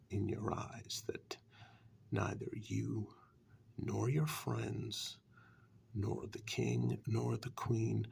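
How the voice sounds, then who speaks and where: A condescending warlock, acted out by a man speaks softly and very slowly in a low voice full of heavy creak. He sounds taunting and deliberate, as in a dark fairytale ASMR role-play. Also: heavily acted. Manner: slow, deliberate, taunting with rhetorical questions. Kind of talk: soft-spoken ASMR role-play monologue.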